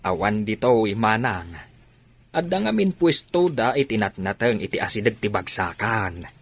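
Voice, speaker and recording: An actor playing an obliging younger male relative who explains things in an animated way in a radio drama. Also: fairly low pitch, normal speed, slightly rough. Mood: informative, eager. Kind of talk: Ilocano radio drama acting.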